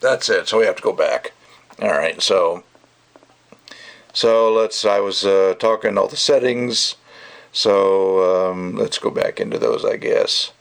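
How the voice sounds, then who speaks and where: A man's voice: low voice, expressive, takes it slowly, creaky. A man giving a casual, rambling product review in an opinionated, emphatic way with conversational asides. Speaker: gadget reviewer.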